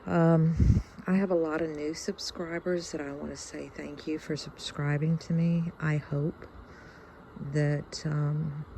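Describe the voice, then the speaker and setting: Woman in her fifties, Southern US accent, creaky dark voice, halting reflective vlog chat, light ambient noise. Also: low voice, level tone, poor audio. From Louisiana, United States. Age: fifty-one. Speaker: video channel host. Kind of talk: casual reflective chat to viewers.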